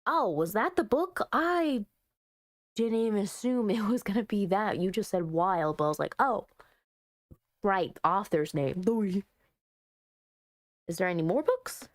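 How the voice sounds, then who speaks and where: A woman doing let's play commentary on a visual novel, reading it aloud and chatting with expressive, playful asides. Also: mid-range voice, measured pace, long pauses, no echo, studio quality. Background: soft music.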